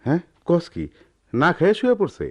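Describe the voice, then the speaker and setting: A middle-aged master goldsmith in a radio play, humble and gentle. His Dhaka-area Bangladeshi speech is earnest and advising, with a lively, wide-moving pitch. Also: a little fast. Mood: tender, concerned. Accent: Bangladeshi, Dhaka-area dialect. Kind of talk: radio drama acting.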